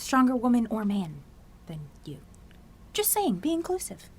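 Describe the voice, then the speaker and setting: Cheeky, lively nerdy teen girl. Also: on the high side, normal speed, slight background noise. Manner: flippant, teasing, slangy. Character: cheeky, boastful nerdy teenage girl best friend. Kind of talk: audio drama banter.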